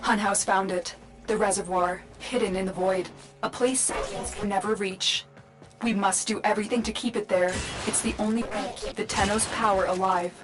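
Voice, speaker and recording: A woman's voice: mid-range voice, steady tone, normal speed, lightly acted, poor audio. Woman as a composed, solemn guide with music behind. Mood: solemn, resolute. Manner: composed, earnest, instructive. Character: solemn, protective female guide and commander. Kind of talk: video game voice acting.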